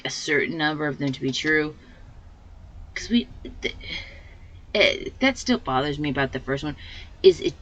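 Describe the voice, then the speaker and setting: A woman with a slightly hoarse voice hosting a let's play, giving casual commentary and thinking aloud as she plays. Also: low-pitched, fairly bright tone, dull audio. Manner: rambling, thinking aloud with fillers.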